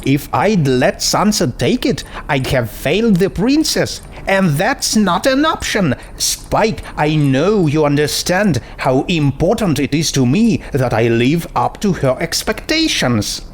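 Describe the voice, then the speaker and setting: A man puts on a young female pony princess, pitched high and swooping eagerly. She is earnest, pedantic and sure she is right, like a gushing star pupil in a storybook, with some noise behind her. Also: very animated, measured pace, few pauses. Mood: annoyed, defensive. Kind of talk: storybook character voice in fan fiction reading.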